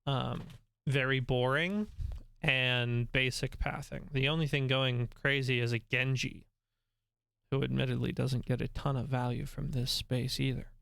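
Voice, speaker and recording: A male coach talks players through a game replay, his voice at an ordinary middle pitch and a little nasal. He explains calmly and keeps filling pauses with ums. The sound is dry and close, with no room around it. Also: gravelly, clear, resonant tone, no echo. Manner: conversational and instructive, many ums. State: slightly nasal, voice going.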